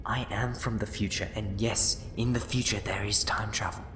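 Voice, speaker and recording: A man playing a scheming, bitter renegade Time Lord in a sci-fi audio drama, speaking in theatrical, condescending, deliberate speeches. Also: fairly low pitch, fairly even intonation, echoey room, over music, natural voice. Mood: grave, confiding.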